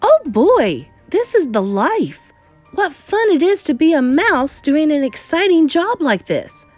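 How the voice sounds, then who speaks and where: Plucky storybook boy mouse read by a woman: excitable, high, very animated. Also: unhurried, no echo, faint music behind. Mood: gleeful. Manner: breathless, vivid, dramatic telling.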